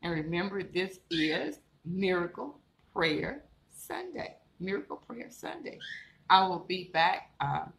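A woman's voice: fairly low pitch, big pitch swings, slow, creaky, fairly bright tone. A woman minister giving a live-streamed sermon, encouraging and repetitive, building up to emphatic declarations. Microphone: live stream setup. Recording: echoey room with faint background noise.

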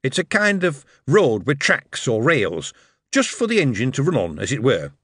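A man's voice: mid-range voice, huge pitch swings, moderate pace, fairly bright tone, slightly rough, dry recording, studio quality, pitched above the performer's own voice, exaggerated voice. A man voicing a cheerful, earnest male steam engineer with a Northern English dialect. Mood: eager. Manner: eager, earnest, dialect-heavy.

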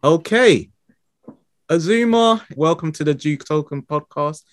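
A man's voice: fairly high pitch, lively intonation, a little slow, dry recording, clean studio sound. A man hosting a podcast, chatting casually in a friendly, rambling way with many fillers. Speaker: podcast host. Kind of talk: casual interview chat.

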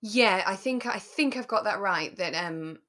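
A woman with a mid-pitched voice co-hosts a relaxed podcast. She recaps in a measured, thoughtful way, qualifying her points as she goes. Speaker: podcast co-host. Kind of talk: relaxed chat recapping a radio drama.

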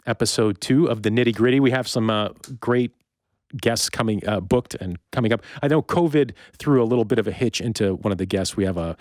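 Male podcast host, North American accent, lower register, conversational, dry close sound. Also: fairly quick, a little mellow, dry recording. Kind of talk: casual conversational interview.

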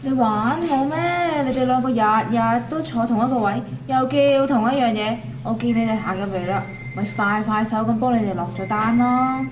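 女演員扮演聲線偏高、爽直嘅香港茶餐廳阿姐. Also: female voice, on the high side, moderate pace, hardly any pauses, noisy background, natural voice. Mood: bantering. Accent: Hong Kong. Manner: brusque, bantering. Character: brusque, familiar waitress at a local eatery. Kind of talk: Cantonese audio drama acting.

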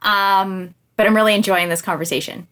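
A woman's voice: medium pitch, steady tone, a little fast, a bit bright. A woman hosting a podcast interview, friendly and affirming as she steers the conversation. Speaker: podcast host.

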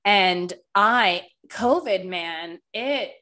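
A woman's voice: mid-range voice, animated, drawn-out words, sharp tone. A woman hosting a podcast in casual chat, a bit congested and nasal with a slight cough, who talks loosely and swears often. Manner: chatty, swears casually, lots of "like". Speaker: podcast host.

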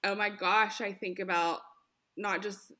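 A woman speech therapist talking in an earnest, expressive way, often acting out examples as quotes. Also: medium pitch, unhurried. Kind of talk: conversational interview.

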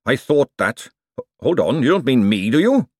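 A man's voice: mid-range voice, very animated, moderate pace, breathy edge, pitched above the performer's own voice. A man voicing a nervous, servile male chef in an audiobook, hesitant and deferential. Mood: worried. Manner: hesitant, servile, repeatedly saying "sir". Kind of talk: audiobook character dialogue.

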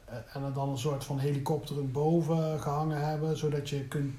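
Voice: mid-range voice, little pitch movement, a little fast, dark tone. A person in reflective casual conversation, searching for words and often repeating them, as if being interviewed. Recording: echoey room, faint background noise.

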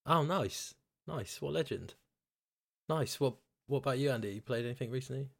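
A man's voice: medium pitch, normal speed, gravelly. A man hosting a podcast in casual, informal chat, with a bantering way of talking. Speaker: podcast host.

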